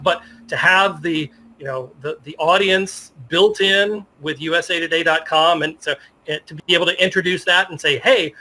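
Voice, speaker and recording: A man's voice: fairly high pitch, sharp tone, low-quality recording. A middle-aged American man chatting and telling anecdotes as a guest in a casual interview. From United States. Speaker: interview guest, sports journalist. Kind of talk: casual interview conversation, reminiscing.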